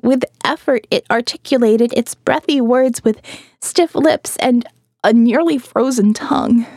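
A woman with a high voice reads dramatic first-person fiction. Her delivery is expressive and wry, and the sound is close and dry. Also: a little slow, no echo. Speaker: audiobook narrator.

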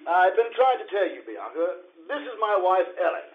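Man acting a jealous husband, high voice, swooping intonation, stammering, sarcastic, stage radio comedy. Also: very animated, normal speed. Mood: flustered. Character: flustered, jealous husband caught between two wives. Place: live stage show at an arts center. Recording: dull, noisy live theatre recording.

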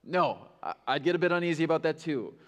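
A man's voice: on the high side, expressive, fast, fairly bright tone, in a large hall. A male pastor preaching a sermon in a candid, conversational way, with self-mocking asides.

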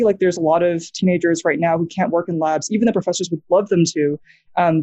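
A young woman with an American accent, a guest talking casually on a video call, quick and halting, full of fillers and restarts. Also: fairly low pitch, warm tone. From New Zealand.

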